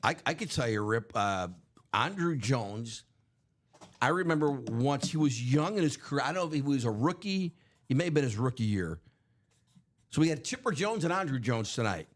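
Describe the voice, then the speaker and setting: A man hosting a live card break stream, chatting loosely with many fillers, his voice going hoarse. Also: medium pitch, big pitch swings, moderate pace. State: losing his voice. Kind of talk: casual live stream chat.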